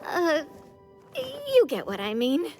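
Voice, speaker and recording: High, swooping, flustered young friend. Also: female voice, huge pitch swings, a little mellow, faint music behind, full character voice. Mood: admiring, flustered. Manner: halting, tactful. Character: well-meaning, flustered young woman friend. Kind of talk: video game voice acting.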